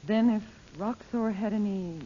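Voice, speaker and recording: A woman's voice: medium pitch, unhurried, warm tone, natural voice. An actress playing the adventurer hero's level-headed female companion in a radio serial, speaking matter-of-factly. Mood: doubtful, questioning. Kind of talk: old-time radio adventure serial acting. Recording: muffled old radio recording, noisy.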